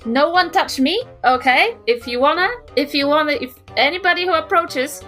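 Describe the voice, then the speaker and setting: A woman's voice: high voice, normal speed, a bit bright, soft background music, voice pushed up. A woman voicing a cranky, bossy old half-elf granny in a tabletop game, with a New Zealand accent and scolding, emphatic delivery. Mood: indignant, threatening.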